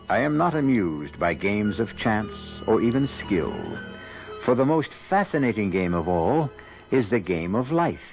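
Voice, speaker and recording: A man hosting a 1970s radio mystery with theatrical, portentous scripted narration full of rhetorical questions. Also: lowish voice. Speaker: radio drama host. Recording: dull, band-limited 1970s radio broadcast. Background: dramatic music.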